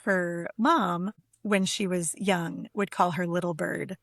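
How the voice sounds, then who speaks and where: A woman's voice: fairly low pitch, steady tone, slow, a bit bright, no room sound, clean studio sound. A woman speaking as a guest poet and editor in a literary conversation. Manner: effusive, conversational. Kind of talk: casual literary conversation with poem reading.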